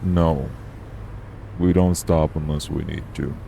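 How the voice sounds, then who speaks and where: A man playing a steady, guarded, streetwise vampire traveler in an audio roleplay, matter-of-fact with folksy clipped word endings. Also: rumbling low, slow, vocal fry, noisy background, heavily acted. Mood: calm, wary.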